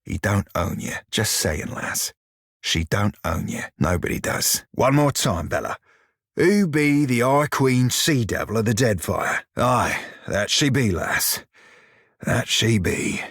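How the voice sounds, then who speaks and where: A roguish sea dog from a fantasy video game, crude and teasing yet protective. His husky voice drawls sailor slang, taking its time and rising and dipping freely. The sound is dry and close. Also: on the low side, sing-song, slow, fairly bright tone, no echo, heavily acted. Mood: gentle, earnest, then salty banter. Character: blue-skinned roguish pirate shiphunter, salty, crude, teasing, protective. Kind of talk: video game character dialogue and combat barks.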